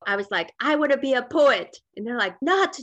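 A woman's voice: high-pitched, expressive, brisk, a bit bright, no room sound, highs cut off. A woman from Mexico, a native Spanish speaker, telling personal stories as an interview guest in an informal, candid way. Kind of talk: conversational personal storytelling.

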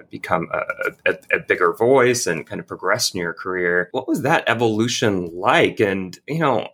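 Guy doing an interview, low creaky voice, kinda roundabout with his questions. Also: bright, forceful tone. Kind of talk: conversational interviewing.

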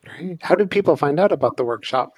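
A man's voice: on the high side, animated, brisk, breathy edge, dry recording. A man interviewing a guest, asking short encouraging questions.

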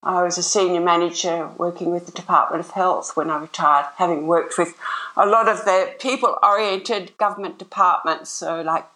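A woman's voice: fairly low pitch, fairly even intonation, normal speed, bright, forceful tone, rough recording. Chatty older Australian woman. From Sydney, Australia. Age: older, retired. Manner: chatty, upbeat, loose and rambling. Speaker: guest. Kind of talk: casual interview chat.

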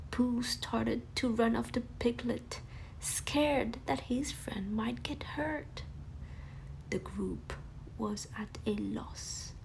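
Female bedtime storyteller: gentle, unhurried, creaky, faint background noise. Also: on the high side, rough recording. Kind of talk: scripted bedtime story narration for children.

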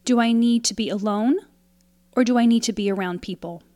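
A woman leading a guided meditation in a calm, soothing, instructional way, leaving pauses between short phrases. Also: on the high side, no echo. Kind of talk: guided meditation, scripted narration.

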